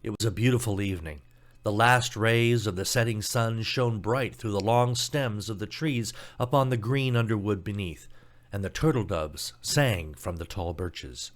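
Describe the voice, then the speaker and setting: A man's voice: lowish voice, unhurried. A man reading a scripted fairy tale as a storybook narrator, telling it expressively.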